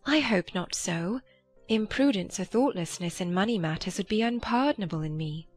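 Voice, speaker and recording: A woman performs a gentle young gentlewoman from a period novel. She is earnest, good-natured and trusting, with a mid-pitched voice. Quiet music sits behind this audiobook drama. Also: animated, normal speed, close to an everyday voice. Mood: earnest, modest. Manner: soft-hearted, earnest.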